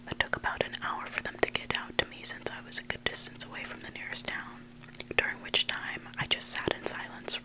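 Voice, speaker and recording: A person reading stories aloud in a breathy, hushed ASMR whisper, close to the mic. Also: poor audio. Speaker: host reading listener-submitted stories. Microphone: close mic, made for headphones.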